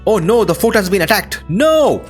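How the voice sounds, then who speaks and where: A man's voice: high-pitched, big pitch swings, moderate pace, soft background music. A man hosting a gaming video with casual playthrough commentary, reacting aloud as he plays.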